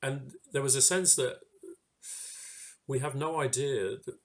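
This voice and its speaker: A man giving an informal sermon reflection in a hesitant, halting way, pausing mid-phrase. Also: medium pitch. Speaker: church speaker giving a reflection.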